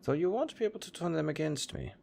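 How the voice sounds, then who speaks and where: A man's voice: a little high, expressive, quick pace, creaky, mellow, a little hiss or hum. A man playing a pragmatic, chatty necromancer who talks casually and matter-of-factly about grisly work in an audio roleplay. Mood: businesslike, upbeat. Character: chatty, pragmatic male necromancer, former tailor. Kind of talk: intimate audio roleplay acting.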